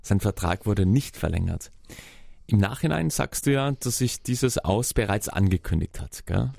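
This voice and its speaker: A man's voice: lowish voice, lively intonation, normal speed, creaky, darkish tone, dry recording, studio quality. A man, asking a footballer friendly, conversational interview questions. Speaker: sports interviewer. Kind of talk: interview questions and scripted lines.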